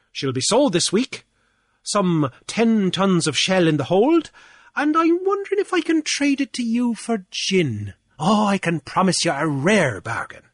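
A man's voice: sits high, fairly bright tone, no room sound, clean studio sound, pitched above the performer's own voice, heavily acted. A man voicing a pompous, drunken male beachcomber official who blusters in a lilt. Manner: bombastic, wordy, theatrical.

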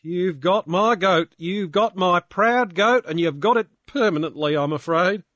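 A man reading aloud and voicing a young male drunkard who whines and blusters in a shrill voice. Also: unhurried, fairly bright tone, no room sound. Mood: rueful. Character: young male drunkard, sulky then defiant. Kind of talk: audiobook character voice.

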